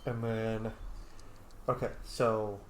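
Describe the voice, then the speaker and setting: A man's voice: lowish voice, little pitch movement, drawn-out words, slight background noise. A man hosting a casual video game roleplay commentary, slightly under the weather and a bit sniffly. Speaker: gaming channel host. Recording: roomy echo, faint game music behind. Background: faint game music.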